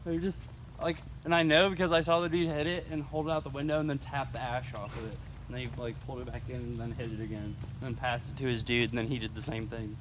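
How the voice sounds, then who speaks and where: A man's voice: mid-range voice, fairly even intonation, fast. A young American man chatting casually with friends and telling stories in slangy, profane language. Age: teens to young adult. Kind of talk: casual chat, storytelling among friends. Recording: noisy amateur recording with clear background noise.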